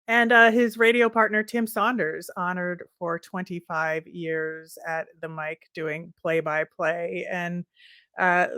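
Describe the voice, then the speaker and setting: A woman's voice: on the low side, a bit bright, dry recording, studio quality. A woman co-hosting a sports podcast, talking casually about the game.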